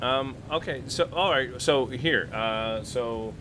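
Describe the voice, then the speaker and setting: A man playing a tabletop roleplaying game, talking casually and haltingly as he thinks aloud. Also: mid-range voice, fairly bright tone, slight background noise.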